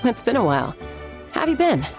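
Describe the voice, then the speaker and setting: A woman voicing a laid-back female warrior who talks in a relaxed, easygoing, dry way. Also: on the high side, fast. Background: game music.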